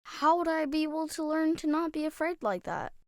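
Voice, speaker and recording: A woman's voice: sits high, animated, brisk, a little mellow, no room sound, clean studio sound. A woman playing an anxious, polite and earnest young boy in an audio drama, speaking hesitantly like a child. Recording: music under the voice.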